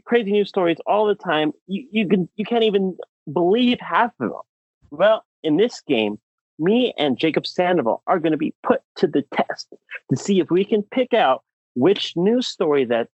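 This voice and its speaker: An enthusiastic male podcast host with a slightly high voice that swings up and down a lot. He chats casually and leans on repeated words for emphasis. The sound is close and a little dull. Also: darkish tone, no room sound.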